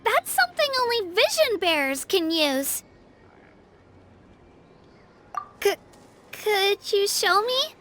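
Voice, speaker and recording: A woman's voice: extremely high pitch, big pitch swings, a little slow, pushed and bright, exaggerated voice. A woman voicing an earnest, polite young warrior girl in a video game, eager and a little hesitant. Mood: curious, shy. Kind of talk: English video game voice acting. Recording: clean voice over game music.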